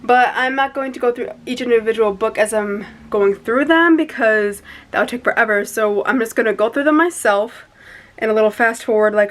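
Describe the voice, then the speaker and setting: A woman's voice: fairly high pitch, a bit bright. A young woman with a congested, sniffly voice, chatting blunt and playful to camera about her bookshelves in her bedroom. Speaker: booktuber host. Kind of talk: casual chat to camera.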